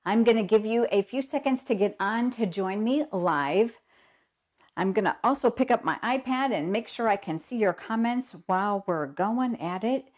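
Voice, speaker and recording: Woman host, mid-pitched, froggy with a cold, chatty live-stream manner. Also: expressive, moderate pace, a little mellow. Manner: chatty, greets viewers by name. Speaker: host of a live stamping show. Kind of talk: casual live-stream chat.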